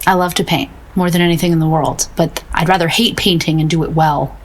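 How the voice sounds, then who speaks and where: A woman's voice: low voice, steady tone, fairly quick. A woman playing a self-doubting fine arts painter in an audio drama, wry, earnest and self-deprecating. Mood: bitter self-doubt. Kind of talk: naturalistic audio drama acting.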